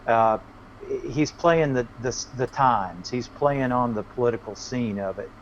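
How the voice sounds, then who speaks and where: A man of medium pitch chats casually as an interview guest. His manner is easygoing and folksy. The sound is muffled and low in fidelity, with a little noise behind it. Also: measured pace. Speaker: guest, working musician. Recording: dull lo-fi connection, faint noise.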